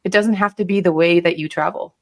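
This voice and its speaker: A woman's voice: on the low side, fairly even intonation, a little fast, darkish tone. A woman with an American accent, a podcast guest giving casual advice in an informal, hedging way, sprinkled with "like" and "you know".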